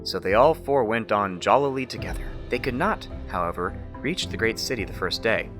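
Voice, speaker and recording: Male narrator, low voice, animated intonation, expressive fairy tale reading, clear music bed behind. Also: moderate pace. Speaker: storyteller.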